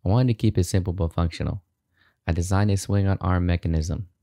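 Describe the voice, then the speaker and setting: A man's voice: low voice, fairly even intonation, a little fast, mellow, no echo, studio quality. A man in his thirties hosting a DIY video, narrating a how-to in a casual, informal, explanatory way.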